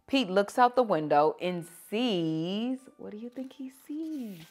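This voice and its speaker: A woman reading picture books aloud to children like a teacher, with animated, drawn-out emphasis. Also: mid-range voice. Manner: animated, drawn-out emphasis, asking listeners questions. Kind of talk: expressive children's story read-aloud.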